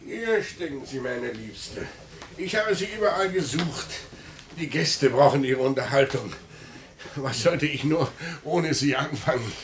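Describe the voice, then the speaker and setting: An older actor in a German radio play playing a wealthy, domineering old merchant. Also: male voice, medium pitch, lively intonation, unhurried, slight background noise. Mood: fond. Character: domineering wealthy old merchant host, genial to guests, harsh to inferiors.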